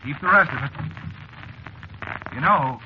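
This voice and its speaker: A fatalistic passenger, expressive voice. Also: male voice, fairly high pitch, measured pace. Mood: resigned. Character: resigned, fatalistic male cab passenger expecting his death. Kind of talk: 1940s radio drama acting. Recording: noisy, muffled old radio broadcast recording.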